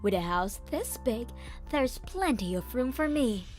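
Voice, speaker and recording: A woman acts out a shameless runaway mother in a comic manga dub. The voice is raised high and wheedles with false sweetness, over music. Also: animated, unhurried, darkish tone. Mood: pleading.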